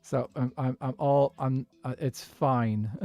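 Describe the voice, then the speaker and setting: A man with a posh Dublin Irish accent chats easily while he plays a building game, thinking out loud and breaking into laughs. His voice sits at a middling pitch. Soft music runs faintly underneath. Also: steady tone, slow. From Dublin, Ireland. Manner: chatty, thinks aloud, laughs often. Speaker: streamer playing a block-building game. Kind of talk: casual gameplay chat.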